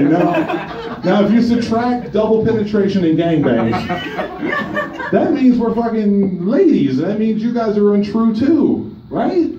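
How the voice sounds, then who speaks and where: Man, 30s, crude club comic working the front row; animated, slightly high voice, distant dull room recording. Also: echoey room, background noise. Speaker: stand-up comedian. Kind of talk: open-mic stand-up set. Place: small comedy bar stage with audience. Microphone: handheld stage mic, filmed from far above. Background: audience laughter.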